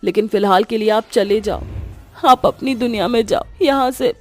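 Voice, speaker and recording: Woman playing an earnest, pleading young daughter, higher-pitched. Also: normal speed, darkish tone, faint music behind, natural voice.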